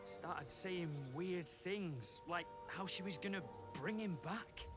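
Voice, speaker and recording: A hot-headed rustic brother in a fantasy game. His voice is high for a man, blunt and combative, and music is clearly heard underneath. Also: takes it slowly. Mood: impatient, defiant. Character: hot-headed, gruff, distrustful village brother. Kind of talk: video game character acting.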